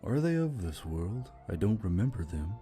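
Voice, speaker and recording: Male actor as musing magical spirit, fantasy audiobook; lowish, extremely mellow, measured delivery. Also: very animated. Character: pensive male spirit inside a magic torque. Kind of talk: audiobook character dialogue. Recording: music under the voice.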